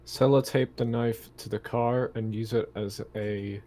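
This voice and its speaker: A man with a mid-pitched voice takes part in a tabletop game. He talks very slowly, in casual and joking table chat. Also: fairly even intonation, dark tone.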